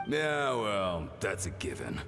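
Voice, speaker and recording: Animated, earnest young swordsman. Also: low-pitched, slow, full character voice. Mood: admiring. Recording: reverberant game audio with music.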